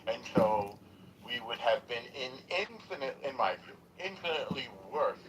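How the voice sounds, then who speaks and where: A man hosting a political call-in discussion, slow and rambling with frequent halting pauses. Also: medium pitch, lively intonation, slight background noise, low-quality recording.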